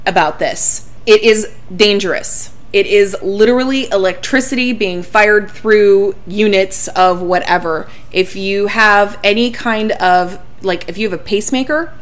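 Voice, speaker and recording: A woman co-hosting a casual podcast, animated and laughing often, emphatic when stressing safety. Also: medium pitch, a little slow, hardly any pauses. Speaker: podcast co-host.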